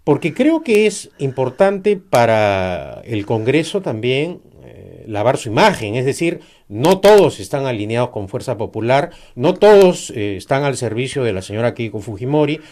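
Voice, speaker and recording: Brief: middle-aged Peruvian man, emphatic political guest, lively intonation, hesitant fillers. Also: medium pitch, normal speed, hardly any pauses. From Peru. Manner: emphatic, argumentative, many hesitations. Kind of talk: political interview.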